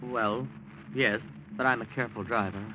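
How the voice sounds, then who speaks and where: A man's voice: medium pitch, fairly even intonation, normal speed, natural voice. An actor in a 1940s radio drama playing a tipsy, breezy, rich young man who rattles easily. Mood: nervous. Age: young adult. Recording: dull, noisy old-time radio recording.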